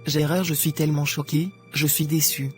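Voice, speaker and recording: Reproachful middle-aged mother, acted by a woman, low-voiced. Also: flat delivery, moderate pace, a little mellow, natural voice. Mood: shocked, reproachful. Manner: emotional, reproachful. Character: devout mother and wife, betrayed and indignant. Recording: music under the voice.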